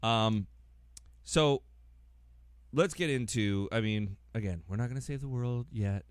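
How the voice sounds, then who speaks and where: Male podcast host, fairly low voice, informal chat style, dry close recording. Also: a little slow, clear, resonant tone, no room sound.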